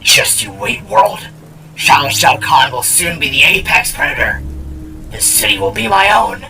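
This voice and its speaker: A man voicing a cocky, cowardly cyborg shark villain from an audio story, ranting in a strained, gravelly rasp. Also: high-pitched, huge pitch swings, measured pace, a crowd in the background, pitched above the performer's own voice, full character voice. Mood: gloating menace. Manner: ranting, exclamatory, theatrical. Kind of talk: dramatic story character acting.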